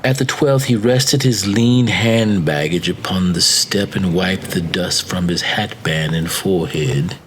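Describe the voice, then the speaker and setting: A man narrating a classic macabre story as an audiobook, with measured, deliberate phrasing. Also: low-pitched, noisy background. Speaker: story reader.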